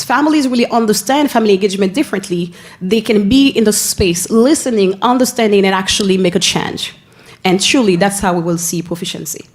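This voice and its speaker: A woman from Haiti with a Haitian accent, giving an earnest presentation at a school board meeting, often pausing and restarting her phrases. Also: medium pitch. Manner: earnest, with frequent pauses and restarts. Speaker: school family engagement staff member.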